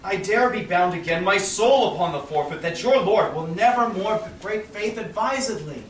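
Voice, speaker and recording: Young man as loyal merchant, high voice, formal stage Shakespeare, roomy distant recording. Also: animated, normal speed, fairly bright tone, slight background noise. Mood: solemn. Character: solemn, loyal merchant friend. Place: small theatre stage with audience. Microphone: far camera in the audience.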